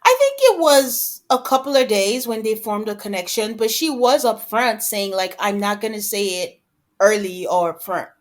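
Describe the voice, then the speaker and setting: Woman podcast co-host, chatty and casual, mid pitch, acts out quotes as she goes. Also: normal speed. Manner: conversational, acts out imagined quotes. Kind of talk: casual discussion of reality TV.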